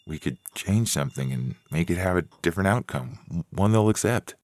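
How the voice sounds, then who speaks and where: Man acting a hesitant fiancé, very deep voice. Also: animated, a little fast, a little mellow, no room sound. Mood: hesitant, pleading. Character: hesitant young fiancé, wavering under parents' pressure. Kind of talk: dramatized story reading.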